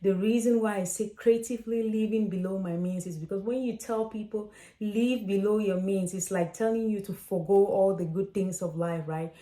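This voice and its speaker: A woman's voice: medium pitch, fairly even intonation, moderate pace, dark tone, reverberant. A woman in her late twenties from Cameroon, hosting a casual advice vlog and talking straight to viewers in a chatty, direct way. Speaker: financial coach and host.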